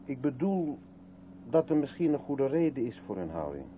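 Through a narrow, clearly hissy old radio recording comes an actor as a sceptical man with a mid-pitched voice. He questions in a measured, dryly ironic way, probing as radio drama dialogue does. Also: sing-song, close to an everyday voice. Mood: sceptical, pointed. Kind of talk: Dutch 1970s radio drama acting. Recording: muffled, noisy 1970s radio recording.

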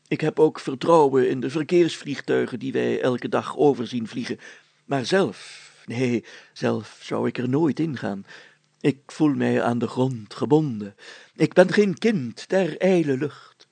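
Man doing a proud storybook mayor, raised pitch, grandly formal, declamatory, husky. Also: a little slow, a little mellow, no echo. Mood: grandly solemn. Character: pompous, proud village mayor, secretly a poet. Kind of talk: acted storybook dialogue.

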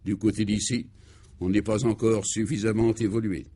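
A man voicing a dry, sceptical male adventurer, low-key and a little hesitant, in a comic radio serial. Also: flat delivery, moderate pace, dark tone, husky.

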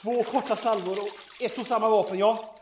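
A man's voice: high voice, steady tone, slight background noise, natural voice. A man playing a squeamish, nervous amateur investigator in an audio drama. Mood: excited, insistent. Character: squeamish, queasy male amateur investigator, eager to conclude. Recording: roomy, echoing sound.

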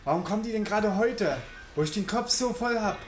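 A man's voice: high voice, a little fast, natural voice. Audio drama role: blustering, irritable dad played by a man, indignant scolder, roomy and noisy recording. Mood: grumpy. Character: irritable, blustering father home from night shift. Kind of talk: German audio drama acting. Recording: roomy sound with clear background noise.

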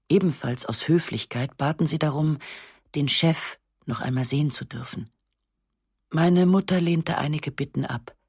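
A woman narrating a literary text in the first person, with a measured, restrained and sober delivery on a close studio microphone. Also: low voice, slightly rough, no echo. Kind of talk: literary reading of a memoir-like novel.

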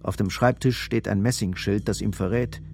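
A man's voice: fairly low pitch, steady tone, moderate pace, a little mellow, natural voice. A man giving a grim, intense scripted narration of a crime story in a German radio drama. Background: music.

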